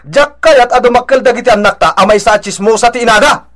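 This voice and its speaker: A man's voice: extremely high pitch, quick pace, fairly bright tone. An actor playing an exasperated husband in a radio drama, scolding his gossiping wife emphatically. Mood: exasperated, stern. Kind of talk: Ilocano radio drama acting.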